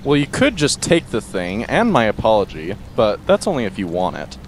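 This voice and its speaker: A man plays a brash young half-demon with a gruff, blunt way of talking. His fairly low voice swings widely in pitch. It is fan audio drama acting with a little background noise. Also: wildly swooping pitch, moderate pace. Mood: awkwardly apologetic.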